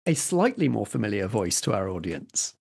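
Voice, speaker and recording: A man's voice: on the low side, sing-song, normal speed, gravelly, darkish tone, slightly rough. A man hosting a roleplay podcast, introducing things in a presenter's style that loosens into chat.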